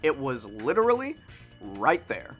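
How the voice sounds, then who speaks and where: A man's voice: medium pitch, measured pace, soft background music. Sniffly young man narrating a game. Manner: chatty and self-deprecating, jumps between excited shouts and mumbles. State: sniffly nose. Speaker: let's play host. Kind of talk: casual gameplay commentary.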